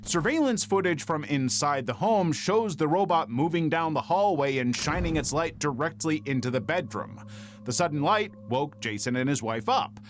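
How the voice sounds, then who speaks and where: A man reading scripted true-crime narration in a measured, dramatic storytelling manner. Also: a little high, lively intonation, slight background noise.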